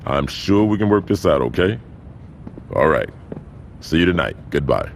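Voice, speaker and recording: An actor playing a proud, controlled and menacing gang boss in a video game cutscene, speaking with authority. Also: male voice, low voice, animated, normal speed, a little hiss or hum. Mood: placating, concerned.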